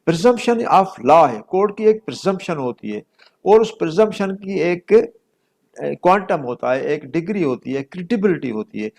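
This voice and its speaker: A man's voice: medium pitch, vocal fry, a little mellow. A middle-aged man with a Pakistani accent giving an explanatory law lecture, repeating points for emphasis and dropping in English legal terms.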